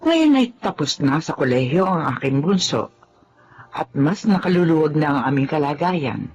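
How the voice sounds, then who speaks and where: A woman's voice: sits low, animated, normal speed, a little mellow, slightly rough, lo-fi sound. An actress playing a humble, loyal middle-aged housemaid and mother in a Filipino radio drama. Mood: calm, content. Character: long-serving loyal housemaid and mother, humble, hurt, forgiving. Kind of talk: Filipino radio drama acting and first-person narration.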